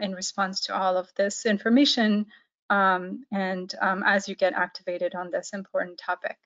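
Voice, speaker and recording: A woman's voice: mid-range voice, a bit bright. A young woman presenting a policy report on a webinar, hesitant, with many fillers and restarts. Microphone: video call. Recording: dull lo-fi video call audio.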